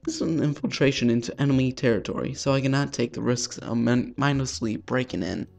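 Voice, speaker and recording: Male gaming host, creaky mid-range voice, casual visual novel read-aloud, sweary and self-deprecating, music underneath. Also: medium pitch, fairly quick, a little mellow. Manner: self-deprecating, sweary, stumbling over words.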